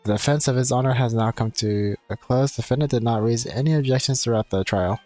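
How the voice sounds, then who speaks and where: A man's voice: mid-range voice, fast talker, darkish tone, no echo. A man livestreaming a game, talking in an informal, rambling way as he chats and reads aloud. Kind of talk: casual livestream chat, reading game text aloud. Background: game music.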